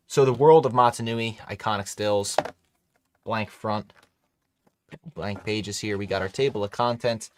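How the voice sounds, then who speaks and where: A man's voice: lowish voice, a little hiss or hum. A man hosting a casual live stream from a home studio, chatty and upbeat, talking to his chat. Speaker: livestream host.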